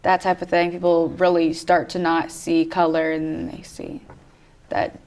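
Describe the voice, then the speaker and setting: This is a young woman student with a lowish voice, taking part in a panel discussion. She talks hesitantly, leaning on fillers like "like" and "um." She often trails off before she finishes a thought. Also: level tone, a little slow, background noise. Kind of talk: casual discussion.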